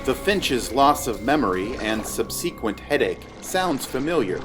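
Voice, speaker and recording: A man narrates a fantasy story from a script. His voice sits at a medium pitch, and his delivery is measured and descriptive. Music runs clearly underneath. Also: very animated.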